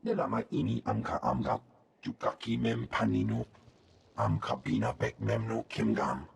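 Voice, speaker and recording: Gravelly, growling alien brute. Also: male voice, lowish voice, big pitch swings, moderate pace, slight background noise, full character voice. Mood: menacing. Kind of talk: game creature acting in invented alien tongue.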